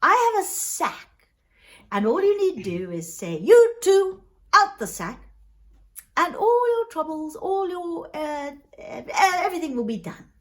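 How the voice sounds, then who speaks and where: A woman's voice: very high-pitched, sing-song, a little slow, voice pushed up, exaggerated voice. A woman voicing a jovial little male frost spirit in a folk tale, genial and full of exclamation.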